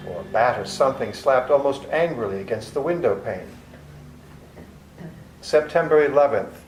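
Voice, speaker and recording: A man narrating journal entries as a worried young doctor in a live radio play, measured in narration and anxious in scenes. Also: mid-range voice, close to an everyday voice. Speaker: narrator and lead actor. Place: library hall with live audience. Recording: echoey hall with faint room noise.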